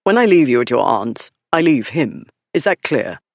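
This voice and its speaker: A man voicing a calm, haughty aristocratic duke with a cool, low, dryly disdainful voice in an audiobook. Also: gravelly, dry recording. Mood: firm. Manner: dry, unhurried, disdainful.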